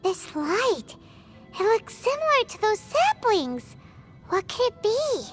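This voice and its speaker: A woman's voice: extremely high pitch, very animated, slow pace, faint music behind, full character voice. A woman voicing a tiny, chirpy female companion in a game cutscene, who blurts out questions and speaks of herself in the third person. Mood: flustered.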